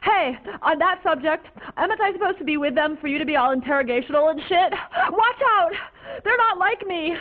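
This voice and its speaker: A woman's voice: extremely high pitch, animated, brisk. A woman playing a tripping, whimpering young raver. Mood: spaced-out. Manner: dreamy, babbling, whimpering. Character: young woman raver, high, paranoid, rambling. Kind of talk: comic stage monologue. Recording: tinny phone-like lo-fi recording.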